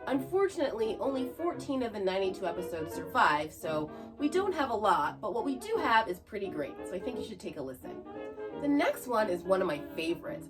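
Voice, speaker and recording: A woman hosting a podcast, reading scripted narration with chatty asides. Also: medium pitch. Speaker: podcast host. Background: music.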